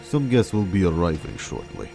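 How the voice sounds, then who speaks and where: An actor playing a cold, mocking torturer in a radio drama, taunting and full of menace. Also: male voice, sits low, huge pitch swings, moderate pace, creaky, music in the background, exaggerated voice. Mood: sly menace. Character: sadistic male torturer villain, cold and mocking.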